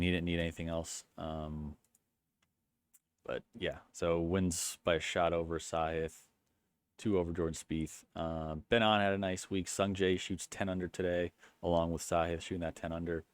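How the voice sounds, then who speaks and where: A man hosting a golf channel, giving a casual rambling recap in a flat, low-energy monotone full of fillers and restarts. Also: a little slow, clean tone, no echo, studio quality.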